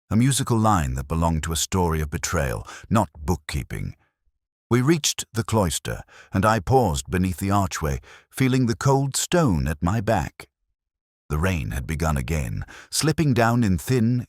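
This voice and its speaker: A man acts as a weary older detective narrating an audio mystery drama in an extremely low voice. His delivery is measured and introspective, rising and falling expressively. The sound is close and dry. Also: no echo, exaggerated voice. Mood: brooding, somber. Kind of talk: scripted first-person mystery narration.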